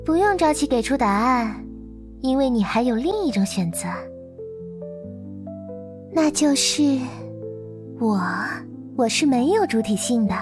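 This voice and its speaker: A woman playing a mysterious, devoted girl in a visual novel game, speaking in a soft, earnest, coaxing manner. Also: high-pitched, big pitch swings, unhurried, long pauses, over music. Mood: solemn, devoted. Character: Lilith, mysterious devoted girl from beyond the world.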